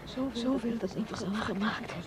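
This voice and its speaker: An actress playing an injured older Salvation Army major, delirious and in pain, halting and repeating words in a radio drama. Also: female voice, on the high side, fairly even intonation, heavy background noise, natural voice. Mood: weak, confused.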